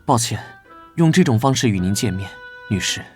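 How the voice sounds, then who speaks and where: An actor playing a gentle young knight investigator in an animated drama, polite and considerate. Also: male voice, fairly low pitch, normal speed, soft background music, natural voice. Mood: courteous. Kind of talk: Chinese animated drama voice acting.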